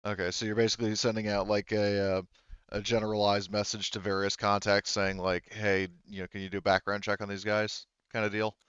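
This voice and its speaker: Smooth low-voiced man running a game. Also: little pitch movement, fairly quick, a bit bright, no echo, muffled recording. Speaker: game master. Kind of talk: casual game-running talk.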